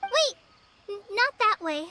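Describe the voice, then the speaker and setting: A woman's voice: very high voice, sing-song, slow, background noise, heavily acted. A woman voicing a timid, earnest young girl in a video game, with a hesitant, stammering, anxious manner. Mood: worried. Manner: hesitant, stammering, gentle and worried.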